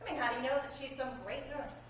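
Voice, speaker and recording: A woman's voice: sits high, steady tone, fast talker, close to an everyday voice. A woman acting in a stage play as an earnest, loyal young sweetheart who speaks directly. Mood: troubled. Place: theater stage, captured from far off. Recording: distant echoey stage recording with hiss.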